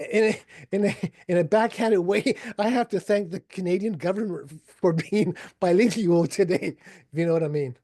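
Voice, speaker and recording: A man's voice: sits high, measured pace, mellow. A man contributing author on a video panel, telling a family story with hesitant fillers and a wry touch. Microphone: video call.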